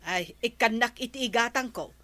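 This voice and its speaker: Radio comedy nag: female villager, Ilocano accent, complaining, high-pitched and forceful. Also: normal speed. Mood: nagging. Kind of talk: broadcast comedy radio drama acting.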